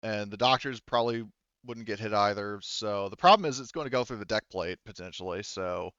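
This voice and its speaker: A man's voice: fairly low pitch, brisk, fairly bright tone, no room sound, muffled recording. A man speaking casually as game master of a tabletop roleplaying game, explaining rules and outcomes in informal, sweary talk.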